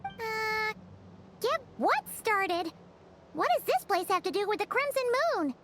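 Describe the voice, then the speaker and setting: A woman voicing a tiny, curious, childlike companion creature with a squeaky voice in a video game. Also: big pitch swings, a little slow, background noise, full character voice. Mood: puzzled, curious. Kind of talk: scripted game dialogue.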